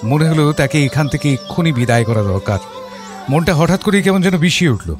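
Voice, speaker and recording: An actor playing a reflective father who narrates his memories in a measured, literary and expressive audio story style. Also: on the high side, close to an everyday voice. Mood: uneasy. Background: background music.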